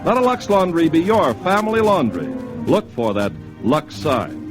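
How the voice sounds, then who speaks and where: A male American announcer from 1950s radio, reading polished scripted copy. His voice sits a little high and moves up and down with lively emphasis. It is a vintage broadcast with music clearly present. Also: normal speed. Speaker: commercial announcer.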